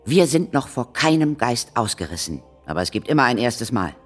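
A man playing a cautious, learned ghost-hunting mentor in a children's radio play, formal and deliberate. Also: mid-range voice, sing-song, soft background music. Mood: grave warning.

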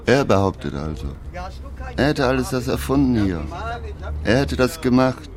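A man playing a deadpan radio-play narrator who relays a drunkard's grand claims in clipped, repetitive phrases. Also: mid-range voice, moderate pace, close to an everyday voice. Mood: deadpan reporting. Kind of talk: German radio play narration in reported speech.

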